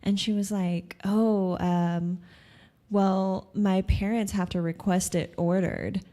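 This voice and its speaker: A woman's voice: medium pitch, fairly even intonation, takes it slowly, noisy background. A woman, a guest on a podcast, speaking thoughtfully and hesitantly, with many ums. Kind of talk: casual interview chat.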